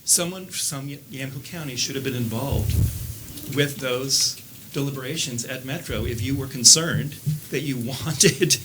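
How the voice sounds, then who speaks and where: A man's voice: mid-range voice, unhurried. A man giving pointed, critical public comment at a county meeting. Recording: noisy public meeting recording.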